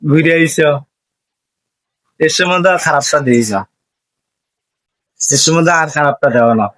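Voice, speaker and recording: A man's voice: on the high side, moderate pace, fairly bright tone, no echo, rough recording. A young man with an eastern Bengali dialect, chatting casually in a teasing, repetitive way.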